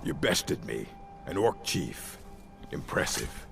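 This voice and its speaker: Very deep, gravelly orc warrior. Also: male voice, sing-song, slow pace, exaggerated voice. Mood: grudging respect. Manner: blunt, terse, formal. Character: gruff orc warrior of a stronghold, proud and dutiful. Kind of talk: video game character dialogue. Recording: game music playing behind.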